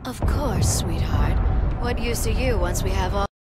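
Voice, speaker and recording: Lady voicing flirty mocking thief boss in a video game, teasing and threatening, medium pitch, loud music. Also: a little slow, close to an everyday voice. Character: taunting, flirtatious female cat burglar crime boss.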